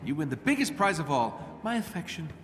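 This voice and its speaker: A man's voice: on the high side, sing-song, a little fast, a bit bright, faint music behind. A middle-aged American man playing a cocky, wisecracking genius inventor hero in a video game, flippant and sarcastic. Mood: breezy, joking. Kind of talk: game cutscene acting.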